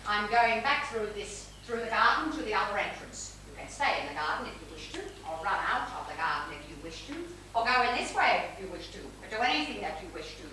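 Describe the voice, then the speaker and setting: A woman on stage playing a domineering, wealthy older widow with a theatrical, deliberate delivery. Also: mid-range voice, big pitch swings, pushed and bright. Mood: irritated, imperious. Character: imperious wealthy older widow, commanding and ruthless mother. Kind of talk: stage play acting. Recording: very echoey theatre, distant mic, noisy.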